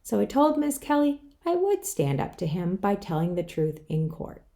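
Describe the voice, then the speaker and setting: A woman's voice: medium pitch, sing-song, normal speed, warm tone. A woman author reading her own children's book aloud, telling it in the first person. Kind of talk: scripted children's story read-aloud.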